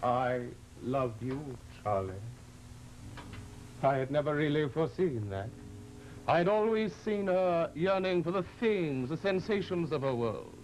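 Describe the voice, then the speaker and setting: An actor playing the Devil as a proud, courtly gentleman, mannered and over-polite, with deliberate pauses, in old-time radio horror style. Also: on the high side, animated, poor audio. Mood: wounded pride. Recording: vintage radio drama, music behind.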